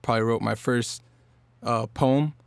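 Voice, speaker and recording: A man's voice: mid-range voice, a little slow, gravelly, smooth, dry recording. A man from Norfolk, Virginia, a singer-songwriter guest talking casually on a podcast, loose and rambling with lots of fillers. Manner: relaxed, rambling with many fillers and restarts.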